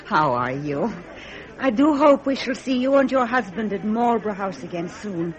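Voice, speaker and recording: An actress playing a gracious, composed princess greeting guests in a period drama. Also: female voice, mid-range voice, expressive, normal speed, natural voice. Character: gracious, politely composed royal princess at a ball. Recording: muffled sound, busy crowd behind.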